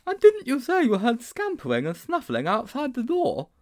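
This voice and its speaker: A man's voice: wildly swooping pitch, moderate pace, exaggerated voice. A man voicing a nervous, timid schoolboy who lisps his r's as w's, in an audiobook reading. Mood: anxious, eager. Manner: lisps r as w, squeaky and breathless. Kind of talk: audiobook character voice.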